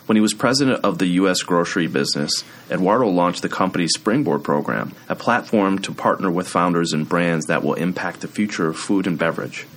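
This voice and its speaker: A man's voice: low-pitched, brisk, hardly any pauses, a bit bright. A man hosting a business podcast, asking questions with brief, upbeat acknowledgments. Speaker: podcast host.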